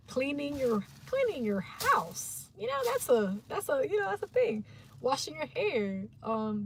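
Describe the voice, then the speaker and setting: Young woman, slightly high voice, loose slangy livestream chatter, faint room noise behind. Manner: rambling, animated, slangy, talks to her viewers. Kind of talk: casual livestream monologue.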